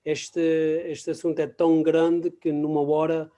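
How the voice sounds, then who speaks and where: Hesitant Portuguese speaker, mellow male voice. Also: on the high side, little pitch movement. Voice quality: non-native Portuguese with English terms mixed in. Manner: halting, searching for words. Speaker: guest expert. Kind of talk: webinar presentation in conversational form.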